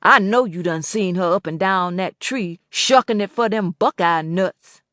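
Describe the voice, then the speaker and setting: A woman voicing a folksy, complaining older southern man who talks in a loud, drawling rural dialect. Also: medium pitch, measured pace, no echo, studio quality, natural voice. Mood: grumbling folksy.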